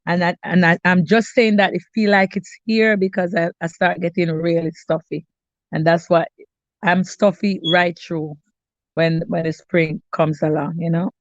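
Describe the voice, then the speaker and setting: A woman's voice: fairly low pitch, flat delivery, moderate pace, no echo. Jamaican lady on a cooking live stream, stuffy nose, chatty, dull dry sound. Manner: chatty, greets viewers by name, frequent restarts. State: stuffy, congested. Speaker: cooking channel host. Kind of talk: casual live-stream chat with viewers.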